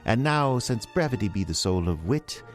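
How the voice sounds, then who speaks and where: An American man playing a pompous, wry British gentleman host, narrating theatrically in a put-on British accent for a fantasy podcast. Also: fairly low pitch, animated, normal speed, natural voice. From United States. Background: music.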